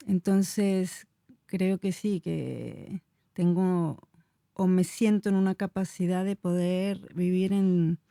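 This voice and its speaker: A woman's voice: on the low side, slow pace, vocal fry, warm tone, no room sound, clean studio sound. A woman in her forties from Chile, a dance teacher answering interview questions in a searching, hesitant way with many fillers. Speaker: dance teacher and movement researcher.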